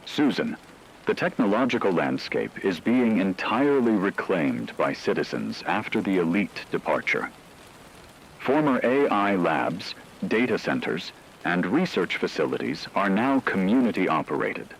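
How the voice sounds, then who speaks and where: A man playing a formal, measured radio news anchor reading scripted reports in a solemn manner. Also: low-pitched, sing-song, slight background noise, exaggerated voice, low-quality recording. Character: grave male radio news anchor in a dystopian broadcast.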